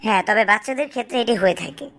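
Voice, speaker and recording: A woman's voice: a little high, racing, fairly bright tone. A woman playing an earnest schoolteacher in a cartoon, explaining and advising children. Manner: instructive, explanatory. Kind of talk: didactic cartoon dialogue.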